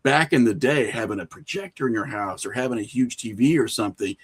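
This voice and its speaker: A middle-aged man with a mid-range voice talks casually as a guest on a podcast. He tells stories in an animated way and slips into quoted remarks as he goes. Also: medium pitch, fast, husky. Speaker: podcast guest, filmmaker and horror creator.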